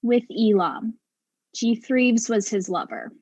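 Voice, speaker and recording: A woman acting an exasperated, sharp-tongued professional in a darkly comic mystery play, arguing with precise sarcasm. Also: on the high side, slow pace, slightly rough, no echo. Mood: exasperated, confessing.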